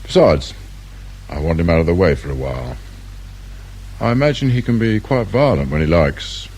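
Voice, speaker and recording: A deep-voiced, measured English police inspector. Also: male voice, big pitch swings, darkish tone, husky, exaggerated voice. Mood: coolly probing. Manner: measured, deliberate, politely formal. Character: calm, grave, shrewd male police detective inspector. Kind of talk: radio drama acting. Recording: noisy radio drama recording.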